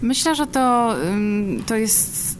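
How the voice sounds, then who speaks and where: A woman answering interview questions as a film festival programmer, speaking conversationally in a thoughtful way with searching pauses. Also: on the high side. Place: recorded in a cinema. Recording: noticeable background noise.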